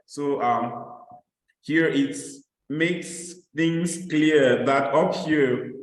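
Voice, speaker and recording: A man's voice: medium pitch, echoey room, rough recording. A Cameroonian man mentoring teachers on a video call, walking through an application form with repeated examples and many hesitations. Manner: explanatory, repeats points with examples, frequent uh fillers. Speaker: mentor presenting application guidance.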